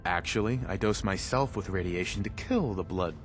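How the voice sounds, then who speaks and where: Man playing a low-voiced, understated survivor. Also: normal speed, faint music behind, natural voice. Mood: wry, questioning. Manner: understated, questioning. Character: weary, plain-spoken male survivor, a searching father. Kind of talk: video game dialogue acting.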